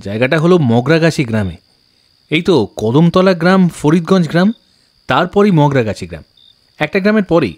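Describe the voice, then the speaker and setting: Audio drama part: businesslike village shopkeeper played by a man, higher male voice, urgent and instructive. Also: very animated, normal speed. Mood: urgent, instructing.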